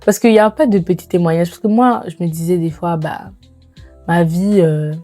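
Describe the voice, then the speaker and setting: A woman in her twenties chatting casually to viewers as a lifestyle vlogger, in an animated, informal way with slangy phrasing and bits of English mixed in. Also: lowish voice, normal speed. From immigrated to Canada. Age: 20s. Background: background music.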